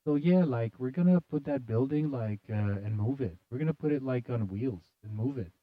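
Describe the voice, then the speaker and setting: Man, mid-pitched voice, casual news commentary, reading aloud, sardonic, jokey, slangy, dull lo-fi recording. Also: moderate pace, dry recording. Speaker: host of a live news show.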